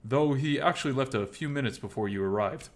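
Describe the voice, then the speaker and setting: A man playing a calm, protective male bar owner in an audio story, speaking in a gentle, reassuring manner. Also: medium pitch, fairly quick, pitched above the performer's own voice, lightly acted. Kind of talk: dramatized audio story dialogue.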